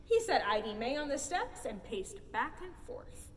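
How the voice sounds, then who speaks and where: A woman reads a children's story aloud with big swoops in pitch and a theatrical delivery. Her voice is high, and it rings in a large echoing space, away from the mic with faint noise behind. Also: normal speed. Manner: theatrical, expressive. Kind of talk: animated children's picture book read-aloud. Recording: roomy hall, distant mic, faint noise.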